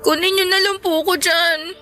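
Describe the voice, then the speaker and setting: An actress playing a meek, hurt teenage daughter in a Filipino radio drama, speaking politely, hesitantly and pleadingly. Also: female voice, extremely high pitch, fairly even intonation, normal speed, bright, forceful tone, soft background music. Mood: pleading, sad.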